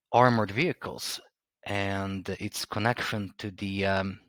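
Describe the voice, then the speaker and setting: Hesitant low-voiced man explaining history. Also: a bit bright, no room sound. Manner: hesitant, many ums and restarts, searching for words. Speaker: history channel host. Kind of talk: casual explanatory talk to camera. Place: Stockholm.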